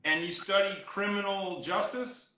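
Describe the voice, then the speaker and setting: A man's voice: high voice, fairly even intonation, unhurried, bright, forceful tone, roomy sound, poor audio. A man hosting a live-streamed interview, steering with short encouraging remarks and guiding questions. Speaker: interview host.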